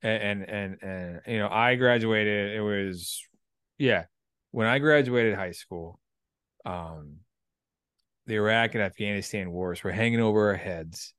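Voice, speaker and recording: A man in his thirties talking through writing ideas casually, in a halting, reflective way with some salty language. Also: on the low side, a bit bright, smooth, no echo, clean studio sound. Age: 30s. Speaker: writer and performer, military veteran. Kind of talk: casual creative discussion.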